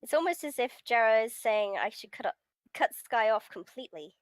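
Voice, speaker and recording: A woman's voice: on the high side, animated, brisk, dry recording, studio quality, close to an everyday voice. A woman reading the part of a beleaguered, sarcastic teenage schoolboy in a visual novel, in a grumbling manner. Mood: worried. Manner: grumbling, sardonic. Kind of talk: visual novel read-aloud.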